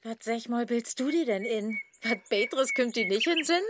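An actress playing a feisty, scornful young woman with a Low German accent rebuffing a suitor in a comic radio play. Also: expressive, normal speed, lightly acted. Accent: Low German, northern Germany. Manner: sharp-tongued, scornful. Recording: clear outdoor birdsong and noise behind. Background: birdsong, clicks.

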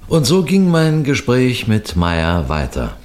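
A man acting a shrewd middle-aged police detective in a radio mystery, with a deliberate, lecturing manner that builds suspense through pauses. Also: medium pitch, huge pitch swings, a little mellow, soft background music. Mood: composed, teasing. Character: shrewd, unhurried senior police detective explaining a case. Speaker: detective narrator.

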